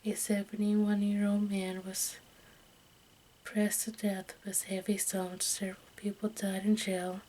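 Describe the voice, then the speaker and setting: A woman reading aloud in a breathy whisper for ASMR, haltingly and with small stumbles over the words. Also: medium pitch, rough recording. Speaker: host of an ASMR channel. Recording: steady background noise, room ambience.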